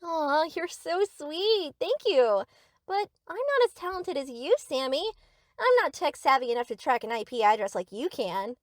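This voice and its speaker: A woman's voice: high-pitched, sing-song, normal speed, dry recording, clean studio sound. A woman playing a sweet, eager, obsessive young yandere best friend in an anime-style audio roleplay, gushing and theatrical.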